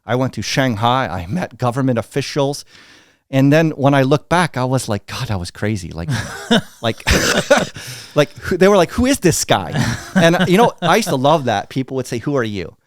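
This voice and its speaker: Blunt, animated American man. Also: medium pitch, moderate pace, no room sound, clean studio sound. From United States. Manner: blunt, animated, acts out quoted dialogue. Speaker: guest. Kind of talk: candid storytelling interview.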